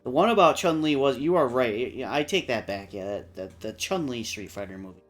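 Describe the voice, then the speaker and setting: A man with a medium-pitched voice talks casually as one of a panel. His manner is blunt and opinionated, and light music plays in the background. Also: expressive, brisk. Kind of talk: casual chat about movies and games.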